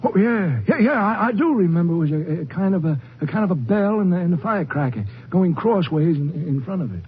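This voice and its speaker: Shaken old rancher, raspy husky voice, stammers a lot, tinny phone-like old radio sound. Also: male voice, high voice, expressive. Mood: shaken, halting. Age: older. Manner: halting, stammering. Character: elderly Texas rancher, beaten, shaken crime victim. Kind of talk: old-time radio drama acting.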